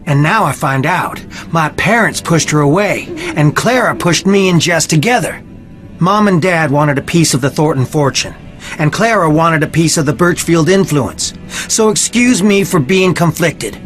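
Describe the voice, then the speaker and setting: A man's voice: on the high side, animated, moderate pace, a bit bright, soft background music. An actor playing a conflicted, defensive young man in a video game, earnest and guarded. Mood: defensive, torn. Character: conflicted, defensive young fiancé of a missing woman.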